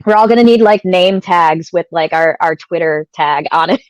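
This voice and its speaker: A woman from central Illinois chatting casually on a livestream call, sniffly and stuffed up from allergies, talking fast and laughing often. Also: lowish voice, dry recording. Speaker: guest co-host on a crypto stream. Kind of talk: casual chat.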